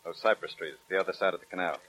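Fast, urgent, commanding sheriff. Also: male voice, low-pitched, fairly bright tone. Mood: commanding, tense. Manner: barking clipped orders. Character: urgent, commanding male sheriff leading a manhunt. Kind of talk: 1940s radio crime drama acting. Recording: noisy 1940s radio recording.

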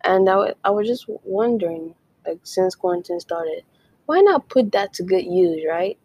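A woman's voice: medium pitch, lively intonation, normal speed, a little mellow, breathy edge. A young woman from Nigeria hosting her own podcast, chatting casually with many "like"s and playful whispered asides. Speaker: podcast host. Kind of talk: casual solo podcast chat.

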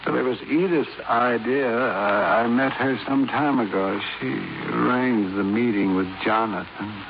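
An American actor playing a dying con-man hypnotist in a 1950s radio crime drama, confessing haltingly in a weak, gravelly, weary voice. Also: mid-range voice, lightly acted. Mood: fading, strained. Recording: muffled, noisy 1950s radio recording.

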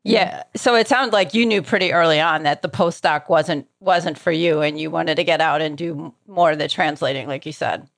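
A woman's voice: deep voice, normal speed, few pauses, no room sound. A woman hosting an interview podcast, introducing and questioning her guest. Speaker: podcast host.

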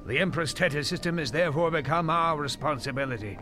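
An actor playing a grave, wise Jedi master in a space-fantasy audio drama, solemn, measured and authoritative. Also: male voice, on the high side, background noise, natural voice. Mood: concerned.

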